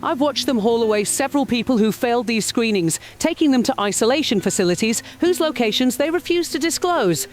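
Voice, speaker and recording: A woman's voice: fairly high pitch, expressive, brisk, hardly any pauses, slight background noise, lightly acted. A woman playing an urgent field correspondent in an audio drama, intense and formal. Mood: urgent, shaken. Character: urgent female field correspondent at a military checkpoint. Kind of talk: scripted on-scene news report.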